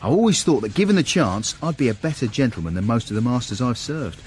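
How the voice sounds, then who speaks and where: An actor playing a smug, courteous British manservant turned gentleman, telling his story in a genteel, formal way in a radio period drama. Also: medium pitch, lively intonation, fast talker, slight background noise, lightly acted. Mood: proud, pompous. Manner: genteel, self-satisfied, formal wording. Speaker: narrator and lead character.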